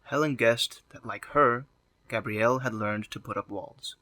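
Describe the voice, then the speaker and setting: Story narrator: man, forceful bright delivery, medium pitch, dry studio-like recording. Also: unhurried, dry recording.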